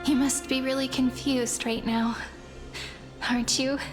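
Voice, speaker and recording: A woman voicing a gentle, wistful young storyteller in a game, speaking softly and deliberately. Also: high-pitched, level tone, over music. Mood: tender. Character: gentle, wistful young female storyteller welcoming an old friend. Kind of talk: game voice acting.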